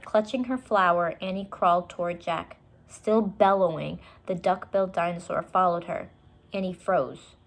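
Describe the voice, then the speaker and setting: A woman reading a children's story aloud, with expressive, deliberate and dramatic narration. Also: on the low side.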